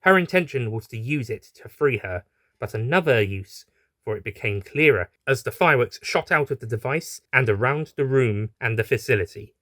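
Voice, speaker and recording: A man's voice: mid-range voice, lively intonation, moderate pace, a bit bright, no room sound. Man narrating a story, dry close sound.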